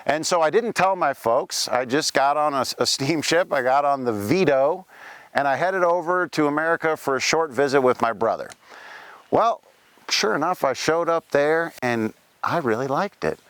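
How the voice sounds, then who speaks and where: A man's voice: on the high side, lively intonation, moderate pace, a bit bright, smooth, no room sound. A man playing the ghost of a Welsh-born pioneer gold miner, telling his life story in a folksy first-person way with frequent asides, outdoors at a cemetery. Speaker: costumed cemetery tour performer. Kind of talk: first-person historical reenactment.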